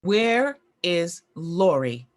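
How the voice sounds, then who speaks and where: A woman's voice: fairly low pitch, sing-song, extremely slow, full character voice. A woman playing a haughty, demanding former child star in a table read of a screen drama. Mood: haughty indignation.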